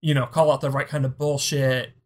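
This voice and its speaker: A person speaking as a guest on a podcast, chatty and casual, filling their talk with "like". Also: male voice, mid-range voice, level tone, fairly quick. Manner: chatty, lots of "like" fillers. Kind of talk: casual conversation.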